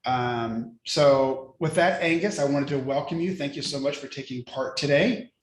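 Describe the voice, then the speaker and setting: A male host welcomes a guest over a video call, his middle-pitched voice echoing in a roomy space. He talks in a friendly, hesitant way, filling pauses with ums. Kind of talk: casual interview chat.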